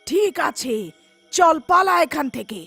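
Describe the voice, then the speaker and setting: A woman performs a vengeful female witch spirit for an animated folktale. Her voice is high and swings widely, with slow, emphatic menace. Music plays underneath. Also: heavily acted. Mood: stern. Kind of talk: animated horror folktale acting.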